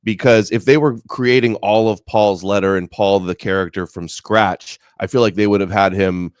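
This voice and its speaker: A man in a casual podcast discussion, reasoning through ideas in a measured way. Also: on the low side, steady tone, clean tone, no echo, studio quality. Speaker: podcast co-host or guest.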